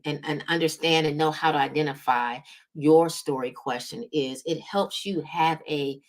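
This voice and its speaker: Woman, slightly low pitch, emphatic, motivational coaching delivery, business strategy host, frequent check-in tags. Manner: emphatic, checks in with "right?" and "okay?". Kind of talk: motivational coaching talk to audience.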